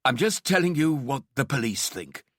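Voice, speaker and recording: A man's voice: medium pitch, very animated, normal speed, a bit bright, dry recording, clean studio sound, voice pushed up. A man voicing an embittered, defensive husband in an audiobook, sneering and confrontational. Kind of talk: audiobook character acting.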